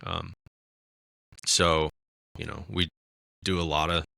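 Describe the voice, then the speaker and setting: A raspy-voiced man in casual podcast chat, talking about his music with lots of fillers and restarts. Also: a bass voice, flat delivery, slow pace, sharp tone, dry recording, clean studio sound. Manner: rambling, many fillers and restarts. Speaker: musician guest.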